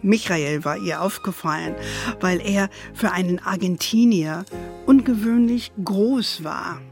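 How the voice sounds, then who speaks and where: A woman's voice: lowish voice, measured pace, a little mellow. A woman narrating a German children's radio play in a storytelling style. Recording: music under the voice.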